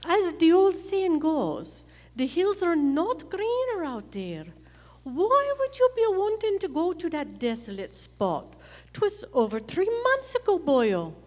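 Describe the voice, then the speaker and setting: Lilting, high-voiced stage-Irish frontier lady. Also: sing-song, a little mellow, exaggerated voice. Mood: probing. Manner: lilting, theatrical, drawn-out delivery. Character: feisty Irish frontier woman full of sayings. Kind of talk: live Western radio play acting. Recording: echoey theatre hall.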